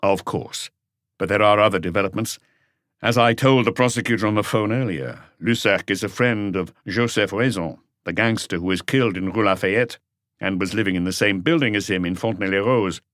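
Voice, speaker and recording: Male reader playing a seasoned policeman, low pitch, measured, factual, serious, crime audiobook. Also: fairly bright tone, dry recording, studio quality, close to an everyday voice. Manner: measured, matter-of-fact. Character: composed, seasoned male police detective chief. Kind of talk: audiobook character voice.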